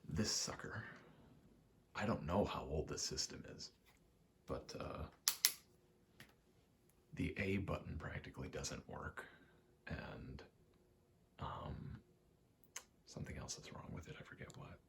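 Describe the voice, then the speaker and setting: Very deep, gravelly, soft-spoken man. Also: animated, slow pace, long pauses, noisy background. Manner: soft-spoken, often dropping into whisper; slangy, profane, meandering. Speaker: ASMR video host. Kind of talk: ASMR ramble, casual storytelling.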